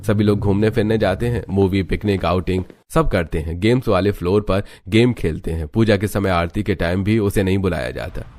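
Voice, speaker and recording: A man's voice: deep voice, a little fast, few pauses, a little mellow. A man narrating a scripted moral story as a storyteller.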